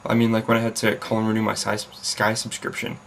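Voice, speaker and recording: A man's voice: on the low side, monotone, a little fast, creaky, a little hiss or hum. A young man with a mixed American and Leeds accent, chatting to a webcam at his computer. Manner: rambling, self-interrupting, with fillers. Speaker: vlogger. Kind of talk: casual chat to camera. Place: home room at a computer desk.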